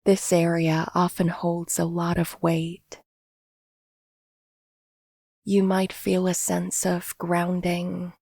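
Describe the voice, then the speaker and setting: Slow, husky woman guiding meditation. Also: on the low side, no echo, studio quality. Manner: gentle, unhurried, instructional. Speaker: meditation guide. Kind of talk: guided body scan meditation, scripted narration.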